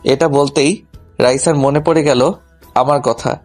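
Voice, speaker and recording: A man's voice: medium pitch, fairly even intonation, a little slow. A man narrating a scripted first-person story in a colloquial, humorous manner. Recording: music behind the voice.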